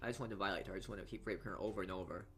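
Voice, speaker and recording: A man's voice: sits low, rapid-fire, a bit bright. A man reading visual novel narration aloud as a let's player, often stumbling over words. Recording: game music behind voice. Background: game music.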